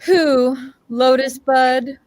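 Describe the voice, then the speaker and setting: A woman's voice: high voice, fairly even intonation, drawn-out words, darkish tone, no echo, clean studio sound. A woman playing a put-upon, grumbling husband in old time radio comedy style, plaintive and resigned.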